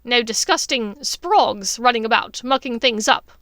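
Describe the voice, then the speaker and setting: A villainous witch, played by a woman narrator, brash and scornful, pitched up and projected hard. She drawls with pointed, false casualness in a storybook reading, with a dry, close sound. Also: lively intonation, unhurried, a bit bright, no echo. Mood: scornful, falsely breezy.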